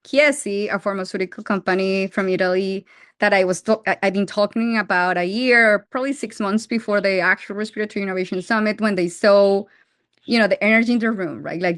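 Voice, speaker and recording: A woman's voice: mid-range voice, no echo, clean studio sound. Colombian woman founder in her forties. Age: 40s. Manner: animated, frequent restarts and fillers. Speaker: startup founder. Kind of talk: interview answers.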